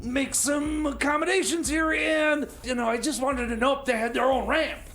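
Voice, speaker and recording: A man's voice: very high voice, fairly even intonation, normal speed, fairly bright tone, a little hiss or hum. A man doing a stammering, flustered booking agent. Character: bumbling hapless male festival booking agent. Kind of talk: comedy radio sketch.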